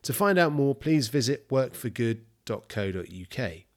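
Man, podcast host, stop-start questions, middle pitch. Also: sing-song. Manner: halting, self-interrupting questions. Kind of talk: scripted sponsor read, then casual interview.

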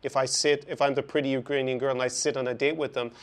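A man's voice: mid-range voice, level tone, quick pace, smooth. Male podcast host in conversation. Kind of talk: conversational interview.

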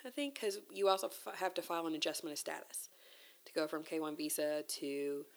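A woman's voice: sits low, fairly quick, gravelly. A woman guest talking casually and candidly, telling a personal story in conversation. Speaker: interview guest.

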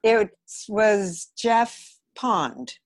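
A woman's voice: mid-range voice, expressive, very slow, no echo, clean studio sound. A woman with an American accent chatting casually as an interview guest who works as a theater director. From Los Angeles area, United States. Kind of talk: casual conversation.

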